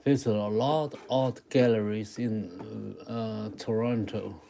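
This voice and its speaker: Slow-talking man with a Taiwanese accent. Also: fairly low pitch, steady tone, creaky, mellow, slight background noise. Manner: halting, repeats short phrases, talks to viewers. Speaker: live-stream drawing artist and host. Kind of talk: casual live-stream chat with drawing demonstration.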